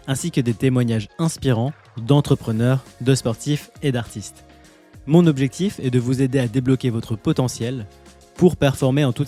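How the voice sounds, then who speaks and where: A man's voice: mid-range voice, mellow. A man hosting a podcast interview, talking with many hesitations and restarts. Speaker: podcast host. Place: home near the mountains.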